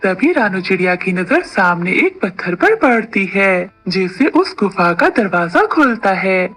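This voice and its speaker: A woman reading scripted narration for a children's animated bird story. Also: medium pitch, unhurried, faint music behind.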